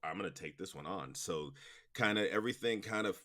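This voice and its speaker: Low voiced guy in his 40s chatting informally in an interview, kinda thinking out loud. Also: expressive, a little fast. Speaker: interview guest, production company president. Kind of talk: casual conversational interview.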